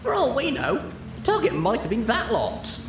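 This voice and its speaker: A man puts on a storybook pegasus mare, pitched far above a male voice. She is brash, blunt and quick to anger. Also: huge pitch swings, roomy sound, noisy background, heavily acted. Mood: angry. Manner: blurting, cross, blunt. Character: brash, hot-tempered female pegasus pony.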